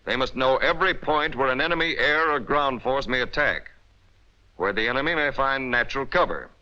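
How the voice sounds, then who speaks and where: Sharp, forceful 1940s American training film narrator, male. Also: medium pitch, fairly quick. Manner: emphatic, instructional, clipped directives. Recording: dull, band-limited 1940s film soundtrack.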